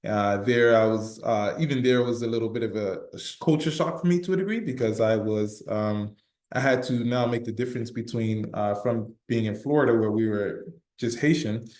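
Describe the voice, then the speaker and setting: A man's voice: lowish voice, moderate pace, a little mellow, reverberant. A man speaking as a panelist in an online discussion, talking hesitantly with many fillers. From United States. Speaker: panelist, business chamber leader.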